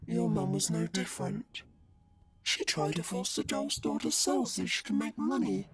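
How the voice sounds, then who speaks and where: A woman playing a vain, playfully murderous living doll in a horror audio drama, with a slow, singsong, mock-sweet menace. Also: medium pitch, natural voice. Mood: menacing.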